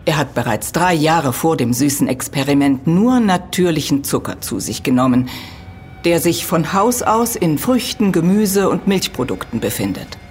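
A woman's voice: sits low, darkish tone, a little hiss or hum. A woman playing a middle-aged professor in an audio drama, lecturing precisely and patiently correcting others with firm emphasis. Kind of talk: German audio drama dialogue.

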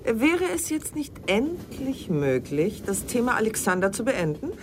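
Probing, proud, expressive mature woman. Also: a little high, measured pace, background noise. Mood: defensive, proud. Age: middle-aged. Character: mature woman lover, probing, jealous, sharp-tongued, proud. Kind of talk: German radio drama acting, dialogue.

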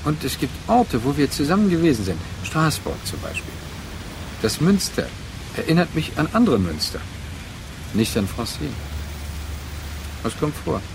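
An actor in a radio drama playing a brooding, wounded man haunted by a former lover, terse, deliberate and reflective. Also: on the high side, very animated, gravelly, background noise, full character voice. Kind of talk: introspective radio drama monologue and dialogue.

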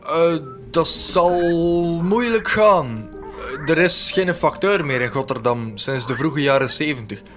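A man's voice: on the high side, measured pace, fairly bright tone, faint music behind. A man with a Flemish accent playing a hesitant, folksy, complaining village innkeeper in a comic radio drama. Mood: bumbling.

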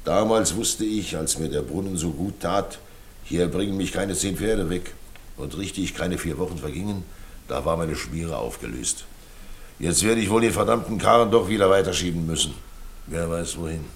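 An actor playing a world-weary, self-mocking older former stage player in a radio drama, with a theatrical, resigned manner. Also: male voice, sits low, normal speed. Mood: wry resignation. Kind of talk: naturalistic radio drama acting.

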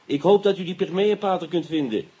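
An actor playing a brisk, wry police inspector with a Dutch accent in a radio drama. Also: male voice, sits high, dark tone, breathy edge. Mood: businesslike. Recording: dull, noisy radio play recording.

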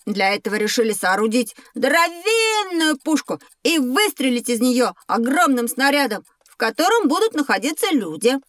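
Casting: bookish, cocksure young boy, high voice, colloquial and lively, dry studio sound, educational audio drama. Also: moderate pace, few pauses, dry recording. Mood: eager. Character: schoolboy Petya, bookish, cheeky, argumentative.

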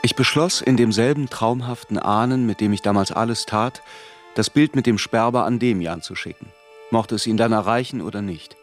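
An actor playing a reflective narrator who recalls his youth in measured literary first-person narration. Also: on the low side, soft background music, lightly acted.

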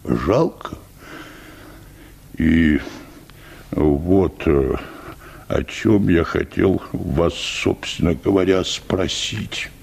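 Slow, gravelly deep bass choir singer. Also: male voice, darkish tone, full character voice. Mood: deferential, wistful. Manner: wordy, hesitant, deferential, repeating polite filler phrases. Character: meek, rambling, hard-drinking church choir bass, a patient. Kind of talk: radio play acting of a comic short story.

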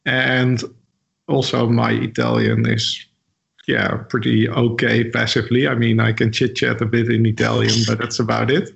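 A man's voice: medium pitch, animated, moderate pace, creaky. A man whose first language is Dutch, speaking English as an interview guest in a casual conversation, hesitant with many fillers and restarts. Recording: dull lo-fi audio.

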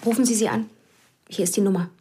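An actress playing a cool, calculating police investigator who talks tersely, with whispered inner asides. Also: female voice, mid-range voice, fast, background noise, lightly acted. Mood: cool, pressing. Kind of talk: radio play acting.

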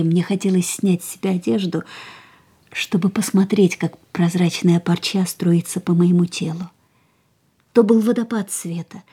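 An actress in a radio play playing an ailing, dreamy young woman who is hungry for life, speaking softly and breathily in lyrical phrases. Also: mid-range voice, natural voice. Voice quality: soft, breathy. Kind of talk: literary radio drama acting, lyrical monologue and dialogue.

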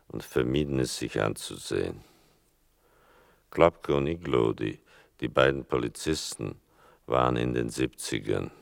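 An older man giving a literary scripted narration in a measured, wry, deliberate manner. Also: rumbling low, vocal fry, dry recording, clean studio sound.

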